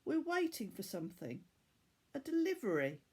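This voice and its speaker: A woman's voice: high voice, wildly swooping pitch, moderate pace, darkish tone, husky, voice pushed up, heavily acted. A woman voicing a kind, remorseful captive boy in a children's audiobook, earnest and pleading in a formal way. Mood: explaining.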